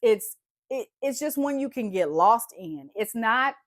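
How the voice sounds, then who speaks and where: A woman's voice: fairly high pitch, unhurried, no room sound, studio quality. A woman book vlogger with a stuffy, congested voice, chatting casually and animatedly about books she loved. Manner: chatty, animated, says "y'all" and "like" often. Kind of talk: casual book review chat.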